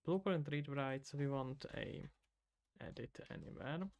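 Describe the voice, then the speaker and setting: Czech man with a gravelly voice coding live. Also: medium pitch, a little slow, muffled recording. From Czech Republic. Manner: casual, mutters to himself while working, loose asides to chat. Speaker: indie game developer streaming. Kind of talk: live coding stream, thinking aloud and answering chat.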